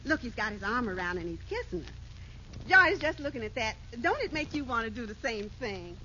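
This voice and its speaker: Exclaiming, folksy wife, high and animated, nagging for romance, vintage radio comedy. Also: female voice, a little fast. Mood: wistful. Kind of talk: 1940s radio sitcom acting. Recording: dull, noisy old radio recording.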